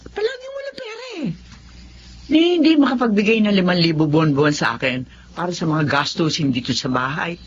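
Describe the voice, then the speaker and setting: A woman's voice: on the low side, sing-song, brisk. An actress playing a nagging, long-suffering older Filipino mother in a television drama, scolding and questioning. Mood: bitter complaining. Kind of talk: emotional television drama acting. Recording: dull audio with faint background noise.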